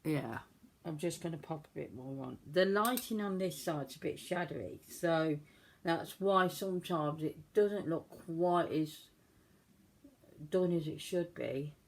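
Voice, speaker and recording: Croaky woman chatting through makeup. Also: low-pitched, unhurried, slight background noise, poor audio. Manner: chatty, self-deprecating, talks while working. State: croaky from a cold. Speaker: beauty vlogger. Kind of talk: casual makeup tutorial chat.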